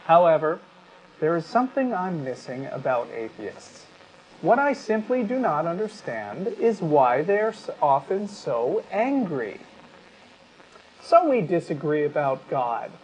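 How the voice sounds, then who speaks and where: A man co-hosting a talk show, speaking in a deliberate, emphatic, articulate way, whether reading aloud or giving his opinion. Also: a little high, low-quality recording. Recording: steady background noise.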